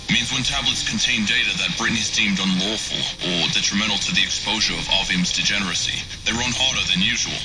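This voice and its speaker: A man's voice: lowish voice, lightly acted, low-quality recording. A man playing a serious hacker ally who gives a grave, formal mission briefing in a video game.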